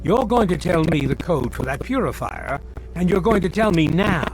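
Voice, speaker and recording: Stern military colonel, lively and high-pitched. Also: male voice, moderate pace, poor audio. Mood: threatening, impatient. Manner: commanding, threatening, clipped orders. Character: stern, impatient, menacing male military colonel. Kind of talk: video game cutscene acting. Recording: loud music behind the voice.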